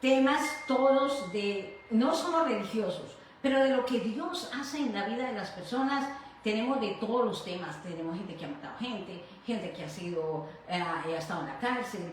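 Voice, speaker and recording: A Colombian woman hosts a casual faith talk in an effusive, rambling style. Her mid-pitched voice sits back from the microphone in a very reverberant room, with faint noise. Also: expressive, normal speed. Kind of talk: casual conversational hosting. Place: echoey room in her home. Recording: very echoey room, distant mic, faint noise.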